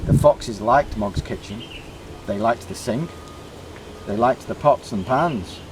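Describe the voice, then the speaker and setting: A man reading a children's story aloud in short, deliberately emphasised phrases. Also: medium pitch, a little hiss or hum. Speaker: storybook reader.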